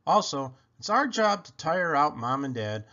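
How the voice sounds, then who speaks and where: A man reads a picture book aloud for children with playful emphasis. His voice sits a little high and swings widely up and down. The recording is slightly dull and lo-fi. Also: big pitch swings, a little slow, pushed and bright. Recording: dull, lo-fi sound.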